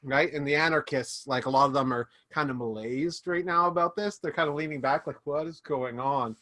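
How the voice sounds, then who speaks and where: A man running a tabletop game as game master, narrating the scene in a casual, rambling way. His voice sits fairly high for a man, and the sound is dry and close. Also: fairly quick, pushed and bright, no room sound. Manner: casual, rambling, many fillers.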